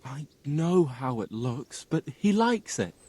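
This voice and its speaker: A simple, kind-natured medieval stable hand for a video game. He speaks very slowly and haltingly, at a middling pitch, with an earnest manner. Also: big pitch swings, a little mellow, breathy edge, exaggerated voice. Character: simple, gentle medieval stable hand who loves horses. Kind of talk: video game cutscene dialogue.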